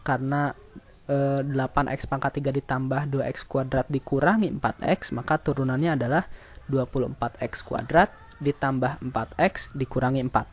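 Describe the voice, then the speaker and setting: A man speaks in a medium-pitched voice. He narrates a lesson as a teacher would, setting out each step methodically and explaining as he goes. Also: steady tone, normal speed. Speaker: mathematics teacher.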